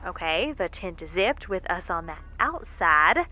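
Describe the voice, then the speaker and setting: A woman's voice: on the low side, sing-song, unhurried, over loud music. An actress playing a quirky, folksy stranded woman space explorer who rambles in an easygoing, meandering way, in an audio drama monologue. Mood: chatty, self-narrating.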